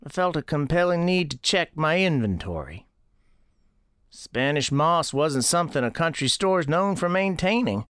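An actor playing an elderly country storekeeper, narrating an audio drama in a folksy, deliberate, musing way. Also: male voice, fairly high pitch, very animated, a bit bright, clean tone, no room sound, studio quality. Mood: musing, unhurried. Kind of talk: first-person scripted narration, audio drama.

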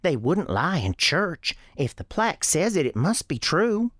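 Young Southern schoolgirl acted by an adult, high and animated, eager, rustic, rambling; radio tale. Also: measured pace, husky, no echo, studio quality, exaggerated voice. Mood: earnest. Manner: rustic childish grammar, eager rambling confessions. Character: frightened, earnest young Southern girl, chatty schoolchild.

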